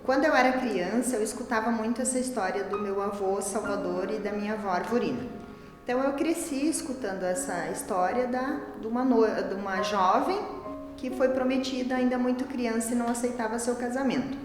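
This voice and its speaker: A woman's voice: fairly high pitch, a little fast. A woman in her forties from southern Brazil, with that region's accent, recounting stories in an interview. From Rio Grande do Sul, southern Brazil. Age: 40s. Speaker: adult student interviewee. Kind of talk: storytelling in interview. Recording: very echoey hall, music underneath.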